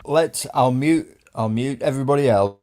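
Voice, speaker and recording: A man runs a casual live stream and welcomes callers with hype. His voice sits at an everyday pitch and gets loud when he gets excited. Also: moderate pace, slight background noise. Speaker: stream host.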